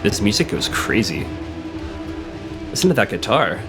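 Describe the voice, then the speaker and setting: A man with a cold, hoarse and a little sniffly, chatting casually while playing a video game, thinking aloud and reading on-screen text. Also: lowish voice, very animated, normal speed. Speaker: let's play host. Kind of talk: casual gameplay commentary. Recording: game music playing behind the voice.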